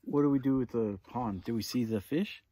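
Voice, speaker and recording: A man's voice: medium pitch, a little fast, very noisy. A man in his thirties recapping a camping trip to his small child, coaxing her with questions, filmed on a phone held at arm's length outdoors. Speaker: father filming a camping trip. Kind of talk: casual family vlog recap. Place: outdoors in desert scrub. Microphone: phone selfie at arm's length.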